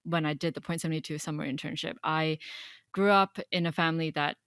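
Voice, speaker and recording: A woman's voice: low voice, fairly even intonation, quick pace, a bit bright, no room sound, studio quality. A woman in her twenties from Hong Kong, working as an analyst, answering interview questions thoughtfully with frequent fillers.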